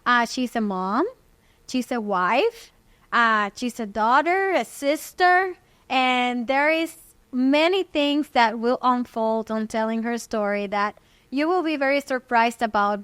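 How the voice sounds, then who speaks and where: A woman hosts a casual interview, her voice sitting fairly high. She loops through her questions with many "right?" and "you know" tags. The sound is dry and close. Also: a little slow, a bit bright, clear, resonant tone, no echo. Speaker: podcast host. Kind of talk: conversational interview.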